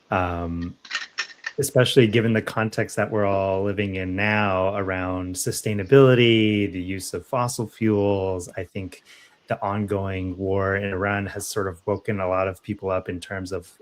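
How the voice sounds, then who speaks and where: A man co-hosting a local news podcast, posing a long, rambling question with many fillers. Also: on the low side. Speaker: podcast co-host, interviewer. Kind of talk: interview question.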